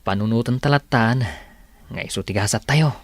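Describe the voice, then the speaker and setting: A man acting in an Ilocano radio drama as a warm, forgiving young husband with an Ilocano accent. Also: medium pitch, normal speed, no room sound, natural voice. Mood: reassuring. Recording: music bed under radio drama dialogue.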